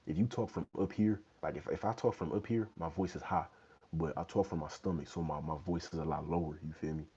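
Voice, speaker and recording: A young man with an extremely low, dark and husky voice chats casually on a livestream, loose and slangy, tossing in "you know what I'm saying" again and again. He talks into a wired earbud mic in a small bedroom, and the phone audio sounds dull and lo-fi. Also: fairly even intonation, fairly quick. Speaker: fitness livestream host. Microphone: wired earbud mic, phone held low.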